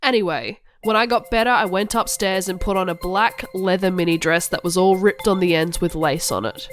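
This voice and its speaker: A woman's voice: lowish voice, steady tone, a little fast, faint music behind, close to an everyday voice. A woman playing a moody, melodramatic teenage goth girl narrating a parody cartoon. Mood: gloomy. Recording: clearly audible background noise.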